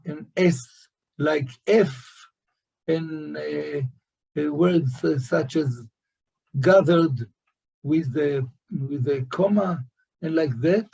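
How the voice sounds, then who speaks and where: A man whose first language is Hebrew, discussing scholarship as a guest in a slow, halting way with many fillers. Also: a little high, creaky, dark tone, breathy edge, low-quality recording. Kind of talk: academic discussion.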